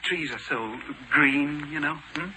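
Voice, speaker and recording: An actor playing a meek, hesitant, daft little eccentric in a 1940s radio crime drama. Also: male voice, on the high side, unhurried, pushed and bright. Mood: dreamily hesitant. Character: meek, jolly-looking little eccentric tree lover. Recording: noisy vintage radio recording.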